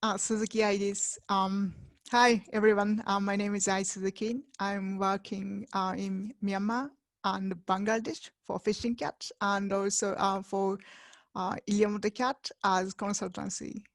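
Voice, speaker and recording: A woman's voice: medium pitch, little pitch movement, unhurried, no echo. A woman with a Japanese accent, a native Japanese speaker, introducing herself hesitantly on a panel. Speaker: conservation consultant, panelist.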